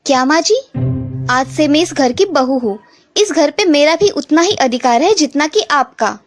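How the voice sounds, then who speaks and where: A woman's voice: high voice, animated, normal speed, soft background music. A woman playing an assertive, outspoken young news reporter and daughter-in-law in a cartoon story. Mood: defiant. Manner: firm, outspoken.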